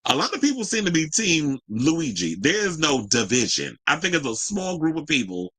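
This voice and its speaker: A man's voice: on the high side, very animated, normal speed, bright, forceful tone, slightly rough. An American man hosting a news and gossip show, reading stories and adding blunt, profane, sassy asides in colloquial speech.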